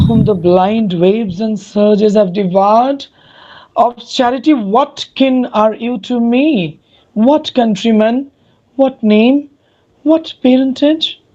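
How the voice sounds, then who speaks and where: A performer playing a tender, wondering young gentleman, a long-lost twin brother, in a dramatic Shakespeare reading. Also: mid-range voice, lively intonation, takes it slowly. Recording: dull lo-fi audio.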